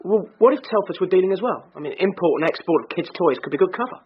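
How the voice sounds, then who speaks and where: An actor playing an informal, sceptical British police sidekick in a naturalistic radio drama. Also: male voice, high voice, expressive, very fast. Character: questioning, loyal male police sidekick to a woman boss. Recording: dull radio recording with faint noise.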